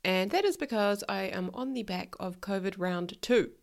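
A woman's voice: mid-range voice, normal speed, gravelly. A woman with a New Zealand accent hosts her own podcast in a frank, outspoken monologue. Her voice sounds a little nasal and stuffed up from a recent illness, and she doesn't shy from swearing. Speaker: podcast host, life coach. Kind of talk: casual solo podcast monologue.

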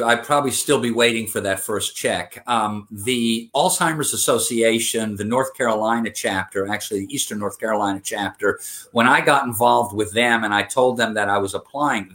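A man's voice: medium pitch, fairly bright tone. A man in his fifties giving an interview, speaking earnestly, with frequent fillers and restarts. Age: 50s. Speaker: interview guest. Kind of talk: conversational interview.

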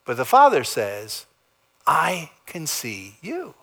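A man preaching a sermon as pastor, in a dramatic style that swings between loud emphasis and soft, low endings. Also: wildly swooping pitch, takes it slowly. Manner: dramatic preaching with big swings in pitch and loudness. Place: church sanctuary.

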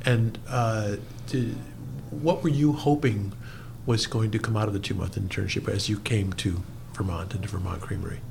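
A man's voice: lowish voice, steady tone, normal speed, gravelly, a little mellow, slight background noise. Curious man interviewing a guest. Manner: curious, encouraging questions. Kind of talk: conversational interview.

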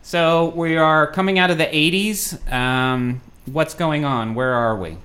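A man's voice: a little high, steady tone, a little slow, sharp tone. Man, interviewer, short questions, brief prompts. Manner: brief prompts, frequent "mm-hmm".

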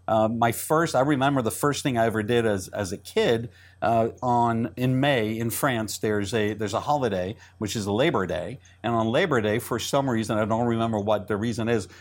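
A middle-aged man from Israel whose first language is Hebrew talks as an interview guest. He speaks fast and keeps restarting his sentences. He repeats words as he goes. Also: mid-range voice. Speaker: entrepreneur guest. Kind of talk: interview conversation.

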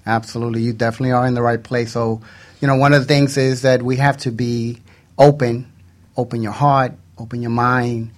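A man's voice: mid-range voice, flat delivery, brisk. A man co-hosting a radio show in a studio with casual, flirtatious banter.

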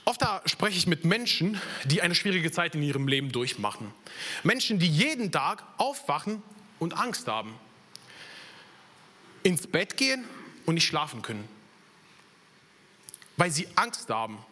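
A young man from Italy preaches with an Italian accent, earnest and personal. His voice is bright and forceful and swings widely in pitch. It sits a touch higher than most men's. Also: big pitch swings, a little slow, pauses often. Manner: earnest, personal testimony with slips in German grammar. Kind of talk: sermon.

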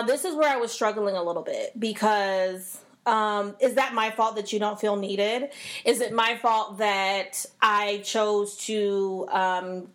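A woman co-hosting a casual talk show, naturally nasal and extra stuffy from a cold, speaking candidly and straight to her listeners. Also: medium pitch. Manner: candid, expressive, addresses listeners directly. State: getting over a cold. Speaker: podcast co-host. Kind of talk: casual conversation.

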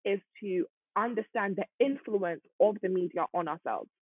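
A young woman co-hosting a podcast, talking in an emphatic, animated casual way. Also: mid-range voice, moderate pace, slightly rough, no room sound. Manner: emphatic, animated, talks over others. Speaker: podcast co-host. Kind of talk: casual chat. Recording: dry, tinny phone-like sound.

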